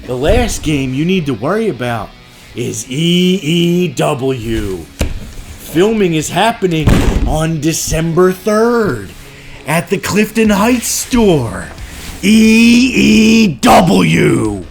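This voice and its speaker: A man delivers a dramatic promo announcement at a very slow crawl. His voice is fairly high with a gravelly crackle and big pitch swings. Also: big pitch swings, faint music behind.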